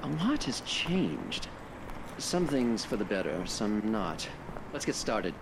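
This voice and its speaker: An actor portrays a courteous middle-aged man on a quest in a radio play. His delivery is formal and earnest, with lively swings of pitch. A faint wash of ambient noise sits behind him. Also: takes it slowly, vocal fry, exaggerated voice. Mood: polite, determined. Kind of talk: radio drama dialogue.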